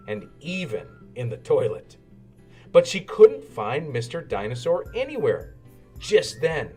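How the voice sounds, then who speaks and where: A man reading a children's story aloud in an animated narrating style. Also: fairly high pitch. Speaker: storybook reader. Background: music.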